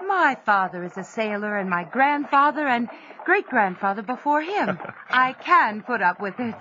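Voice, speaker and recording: A spirited sailor's wife who teases with easy charm. Her voice is a little high, and the recording sounds slightly dull. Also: animated, normal speed, slight background noise. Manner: playful, warm-hearted. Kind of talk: audio drama acting.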